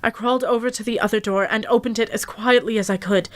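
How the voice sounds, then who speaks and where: A woman playing a brave but frightened young adventurer, narrating her ordeal at sea in a measured, dramatic radio drama style. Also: on the high side, steady tone, lightly acted. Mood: tense, hushed.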